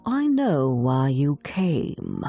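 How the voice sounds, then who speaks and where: A woman narrator plays an old sea witch from a fairy tale, wicked and foreboding. The words come low and slow, drawn out with sinister menace over music. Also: sing-song, dark tone, dry recording, exaggerated voice. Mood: sinister, warning.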